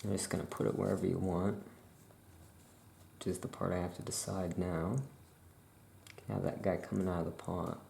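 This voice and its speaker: A very low, gravelly voice speaks softly in an ASMR roleplay as a laid-back witch shopkeeper. The delivery is very slow and casual, with hesitant, drawn-out musing. A faint noise sits behind the voice. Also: little pitch movement. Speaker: ASMR performer role-playing a witch shopkeeper. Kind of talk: soft-spoken ASMR roleplay.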